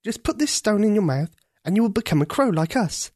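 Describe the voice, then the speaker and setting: A storyteller voicing a brave young girl who can turn into a crow, earnest and eager, pitched well above their own voice. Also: big pitch swings, brisk, dark tone, no echo, studio quality. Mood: urgent.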